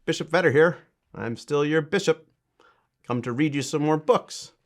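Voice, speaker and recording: A man's voice: fairly high pitch, moderate pace. A man, a bishop, reading a children's storybook and chatting to kids with simple, encouraging asides.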